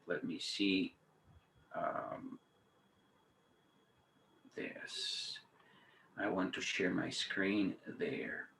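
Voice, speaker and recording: Slow-talking man in his fifties, echoey room. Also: low-pitched, fairly even intonation, vocal fry, bright, forceful tone, a little hiss or hum. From Patagonia, Argentina. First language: Spanish. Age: 50s. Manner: chatty, hesitant, conversational asides. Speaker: guest speaker, teacher trainer. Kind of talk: informal webinar talk. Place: indoors on a video call. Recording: roomy, echoey webinar audio.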